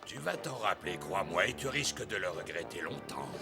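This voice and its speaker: A brash cartoon gang chief, low and gravelly. He taunts theatrically, his pitch leaping about. Music plays behind him. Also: big pitch swings, a little fast, exaggerated voice. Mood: menacing. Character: brash, mocking leader of a beggars' brotherhood. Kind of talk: French cartoon dubbing.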